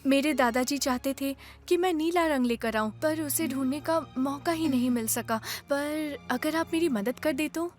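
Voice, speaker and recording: A woman's voice: sits high, moderate pace, hardly any pauses, faint music behind, natural voice. A woman playing a brave, earnest young girl in a Hindi cartoon dub. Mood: earnest, grateful.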